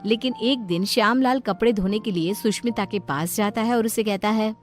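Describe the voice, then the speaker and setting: A woman's voice: mid-range voice, normal speed. A woman storyteller reading scripted narration of a moral tale. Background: light background music.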